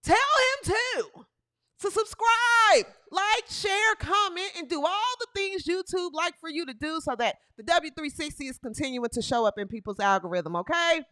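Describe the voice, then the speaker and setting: Woman in her 30s hosting a live sports chat, high voice, keeps yelling out bits. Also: moderate pace. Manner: animated and playful, with bursts of shouting. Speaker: podcast host.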